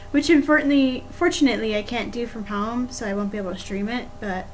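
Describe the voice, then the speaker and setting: A woman's voice: a little high, quick pace, poor audio. A young adult woman chats casually on a livestream, musing to herself in short bursts as she works. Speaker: animator livestreaming her work. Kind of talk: casual livestream chat while working. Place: indoor room at a desk. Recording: clear steady background noise.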